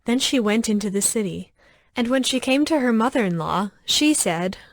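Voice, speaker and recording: Woman narrating scripture over soft music. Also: fairly high pitch, lively intonation, moderate pace.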